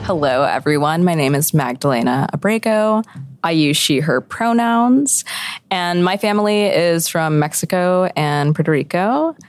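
Low but bouncy woman from chicago, thirties, interviewing for a podcast. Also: expressive, a little slow, faint music behind. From Chicago, USA. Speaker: guest interviewer.

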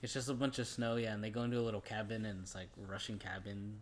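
Lowish guy voice, podcast cohost joking around w slang and cursing, bit of background noise. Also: fairly even intonation, fast talker. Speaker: podcast co-host. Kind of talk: casual movie recap chat.

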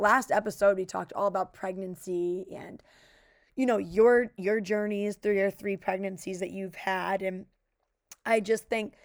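Raspy young woman co-host; casual and chatty, recorded dry and close. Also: mid-range voice, no echo. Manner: chatty, many "like" fillers. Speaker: podcast co-host. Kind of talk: casual chat.

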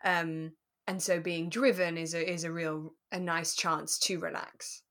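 A woman co-hosting a podcast, recapping casually in a measured, thoughtful way. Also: on the low side, fairly even intonation, fairly bright tone. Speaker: podcast co-host. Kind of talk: relaxed chat recapping a radio drama.

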